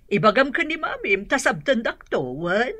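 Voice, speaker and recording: Husky old grandmother. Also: fairly high pitch, sing-song, moderate pace, a bit bright, dry recording. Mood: tender. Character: affectionate elderly provincial grandmother. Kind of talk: Ilocano radio drama acting.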